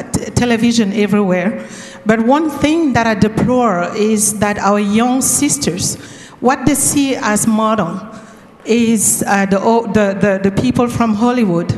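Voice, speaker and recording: An earnest woman from Cameroon, with a slightly high voice, asks a long question from the floor, stopping and restarting as she searches for words. Her voice echoes around a big hall with a long reverb. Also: darkish tone. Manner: earnest, halting, restarts often. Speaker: audience member asking a question. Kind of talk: conference question. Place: large conference hall.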